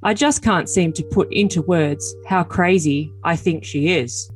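A woman's voice: lowish voice, measured pace, soft background music, natural voice. A woman voicing an earnest, defensive, lovesick young man in a dramatic reading of text messages. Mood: earnest, hesitant. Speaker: podcast cast reader.

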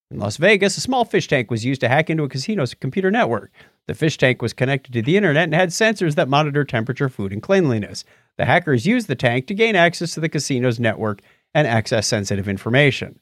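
A man's voice: fairly high pitch, sing-song, a little fast, a bit bright, no echo, clean studio sound. A man hosting a podcast, casually bantering and reading out odd news stories with jokey asides. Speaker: podcast host.

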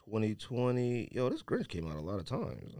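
A man's voice: mid-range voice, wildly swooping pitch, normal speed, warm tone, no echo, studio quality. A man hosting a casual sneaker podcast, teasing his friends in slangy banter. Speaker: podcast host.

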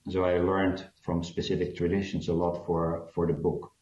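A man's voice: deep voice, flat delivery, moderate pace, a little mellow. A man from South Africa, a guest author, answering interview questions in a hesitant, reflective way with many fillers. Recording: dull, roomy sound.

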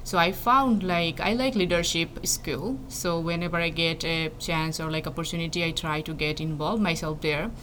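A woman's voice: lowish voice, fairly bright tone, poor audio. A young woman from Bangladesh, a plant breeding PhD student, answering interview questions thoughtfully with many fillers and restarts. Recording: faint background noise.

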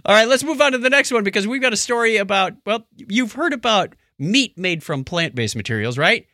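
A man's voice: sits high, expressive, fairly quick, pushed and bright, no echo, clean studio sound. A man co-hosting a casual podcast about news stories, chatting in a joking manner.

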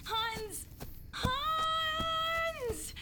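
A woman's voice: extremely high pitch, drawn-out words, background noise, exaggerated voice. A woman playing a brave, anxious young hunter in a fantasy audio drama, earnest and urgent as she searches for her brother. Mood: desperate, pleading. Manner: earnest, urgent, talking to herself. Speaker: narrator and lead character.

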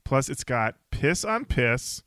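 Bright, crisp-voiced male podcast co-host. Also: medium pitch, big pitch swings, slow pace, no echo, studio quality. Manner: playful, jokey bits. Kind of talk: casual podcast chat.

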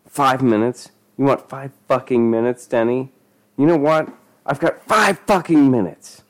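A man voicing a menacing male drug dealer in a story, grumbling and shouting angry threats with swearing. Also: deep voice, moderate pace, lightly acted. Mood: threatening.

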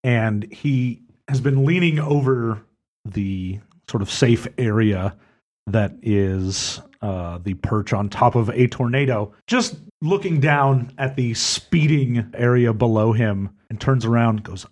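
A man at a tabletop game, describing his character's actions in a slow, halting way. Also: mid-range voice, darkish tone. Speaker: player.

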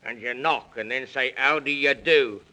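A man's voice: mid-range voice, moderate pace, sharp tone. A man voicing a cheery, encouraging British walrus in a 1930s children's radio serial, jaunty and reassuring, speaking in rhyme. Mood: advising, jaunty.

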